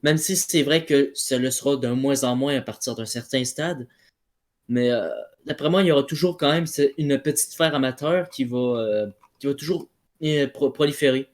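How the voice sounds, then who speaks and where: A man's voice: mid-range voice, moderate pace. A man in a casual debate, talking colloquially and often rephrasing himself. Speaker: debate participant.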